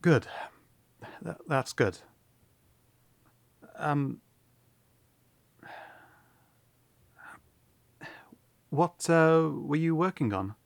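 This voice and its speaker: An actor playing an earnest, awkward young prince in a fantasy audio drama, speaking formally and stammering through apologies. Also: male voice, on the high side, animated, slow, creaky, dry recording, full character voice.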